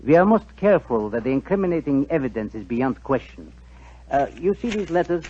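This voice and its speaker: An actor playing a ruthless, formal Balkan official, speaking deliberately in 1940s radio drama style. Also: male voice, mid-range voice, very animated, mellow, slightly rough. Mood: coldly formal. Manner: formal, deliberate, hesitating with small pauses. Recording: dull 1940s radio recording.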